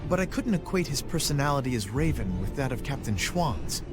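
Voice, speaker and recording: A man playing an earnest, honorable young knight in a video game, speaking in a measured, formal way. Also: medium pitch, sing-song, music in the background, lightly acted. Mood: pensive. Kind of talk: video game dub acting.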